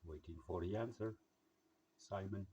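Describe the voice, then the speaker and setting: A man with a husky voice, chatting casually over radio in halting, English. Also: deep voice, warm tone, a little hiss or hum. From Germany. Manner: halting, non-native English phrasing. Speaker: amateur radio operator and video maker. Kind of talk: casual radio contact chat. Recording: slightly dull, lo-fi sound.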